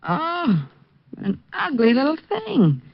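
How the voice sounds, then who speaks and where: A teasing, lively society girlfriend. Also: high-pitched, takes it slowly, dry recording, full character voice. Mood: playful. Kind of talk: 1950s radio drama acting. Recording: dull, dry old radio broadcast.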